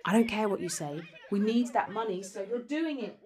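An actress playing an exasperated, sharp-tongued, sarcastic partner who nags about money in a dark comedy audio drama. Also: female voice, medium pitch, animated, moderate pace, husky. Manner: scolding, foul-mouthed, sarcastic. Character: exasperated, money-pressed, sharp-tongued nagging wife or girlfriend.

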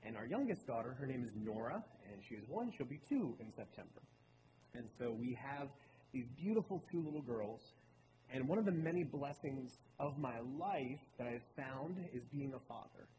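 An earnest man in his late twenties tells personal stories in a relaxed, conversational way, like a speaker at a church service. His voice rings out with a long reverb in a large hall. Steady room noise hums clearly behind him. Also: medium pitch, moderate pace. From Baltimore, Maryland. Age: late 20s. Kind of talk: personal talk in a sermon.

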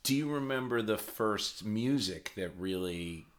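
A man's voice: on the low side, animated, unhurried. A male radio host asking short interview questions and prompting his guest. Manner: brief prompts and backchannels.

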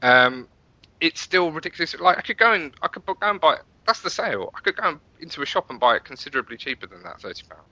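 A man's voice: fairly high pitch, very animated, fast, sharp tone, no echo, rough recording. A British man chatting casually as a podcast guest, talking in a rambling, self-interrupting way. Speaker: podcast guest, web developer.

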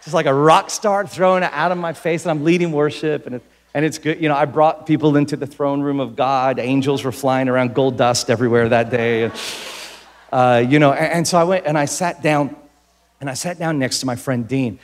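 Animated man preaching with an American accent. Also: medium pitch, brisk. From Philippines. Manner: animated, jokey, bursts into shouts. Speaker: pastor. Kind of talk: sermon with comic storytelling.

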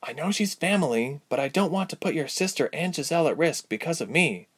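A guarded, serious woman of a fantasy storybook, acted out by a man. The pitch sits raised, and the words come terse and earnest, like someone protecting those close by. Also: animated, a little fast, husky. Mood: worried, urgent. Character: Ilse, guarded adult woman ice chanter, earnest and terse.

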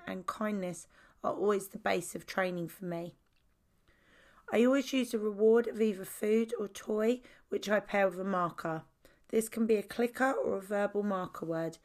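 Female podcast host, croaky voice, calm scripted explainer of training methods. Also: medium pitch.

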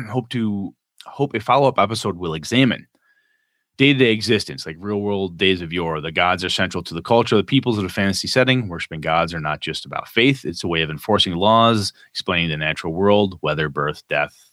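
A man's voice: deep voice, fairly bright tone, dry recording, clean studio sound. A North American man co-hosting a podcast, chatting in an informal, slangy way and reading listener messages aloud. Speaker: podcast co-host.

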